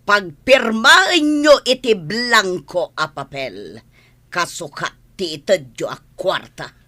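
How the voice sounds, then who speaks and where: Scheming, bossy madam, acted by a woman, bright and deliberate. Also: mid-range voice, lively intonation. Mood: scheming, commanding. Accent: Ilocano. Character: scheming, bossy moneyed madam giving orders to henchmen. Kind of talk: scripted audio drama acting.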